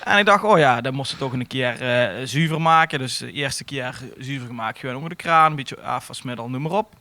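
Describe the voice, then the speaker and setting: A man telling an everyday anecdote in a halting, colloquial podcast chat. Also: medium pitch, sharp tone, dry recording. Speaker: podcast host.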